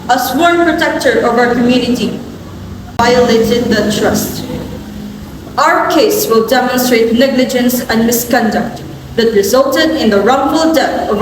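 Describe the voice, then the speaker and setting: A woman's voice: fairly high pitch, measured pace, close to an everyday voice. A woman with a Filipino accent playing an earnest plaintiff's lawyer, delivering scripted oratory in a courtroom drama. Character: earnest female plaintiff's attorney seeking justice. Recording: distant in echoey hall, noisy.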